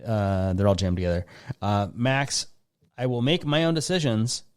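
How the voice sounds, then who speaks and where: A man with a Midwestern American accent and a fairly low voice hosts a casual talk show. He wanders through listener questions, breaking off his own sentences and dropping in joking asides. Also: animated, normal speed, clean tone. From Lansing, Michigan. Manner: rambling, jokey asides, interrupts himself. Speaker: podcast host.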